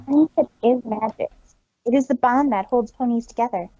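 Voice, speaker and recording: A woman's voice: a little high, moderate pace, dark tone, lo-fi sound, a little hiss or hum, natural voice. A woman voicing a proud but earnest female pony magician in a theatrical reading of fan fiction. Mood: earnest, reflective. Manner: theatrical, speaks of self in third person.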